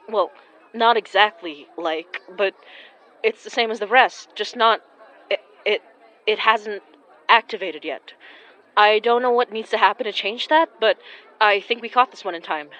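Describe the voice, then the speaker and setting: An actress playing a gentle, anxious young woman who senses haunted objects, speaking haltingly in audio fiction. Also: medium pitch, close to an everyday voice, low-quality recording. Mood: hesitant, uneasy. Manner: hesitant, halting, stammering. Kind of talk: audio fiction acting with first-person narration.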